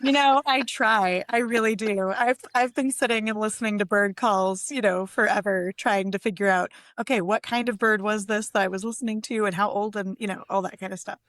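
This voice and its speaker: A woman's voice: mid-range voice, steady tone, brisk, hardly any pauses, fairly bright tone, no room sound. A woman chatting casually as a guest poet on a podcast, over a close microphone. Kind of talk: casual chat. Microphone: close microphone on a video call.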